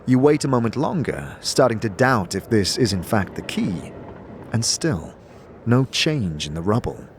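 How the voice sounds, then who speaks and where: A man narrating a fantasy audio drama in the second person, with deliberate, theatrical storytelling. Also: on the low side, sing-song, slight background noise. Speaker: narrator and game master. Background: music bed.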